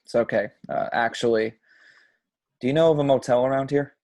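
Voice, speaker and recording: A man's voice: medium pitch, fairly even intonation, a little fast, darkish tone, no echo, lightly acted. A man at a home table read playing a troubled young city man, hesitant and naturalistic. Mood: confused, subdued.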